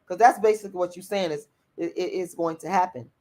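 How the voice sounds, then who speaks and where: Raspy, blunt woman livestreamer. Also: on the low side, fast, dark tone. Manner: blunt and profane, repeats herself; outspoken. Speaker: channel host reading viewer comments. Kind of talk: livestream commentary.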